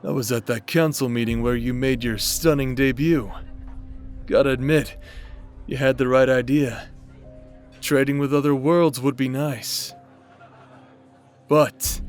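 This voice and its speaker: A man's voice: medium pitch, natural voice. A man playing a brash, protective nobleman captain in a fantasy audio roleplay, with a casual, teasing, confident manner. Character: brash, protective adult nobleman warrior captain, easygoing but menacing.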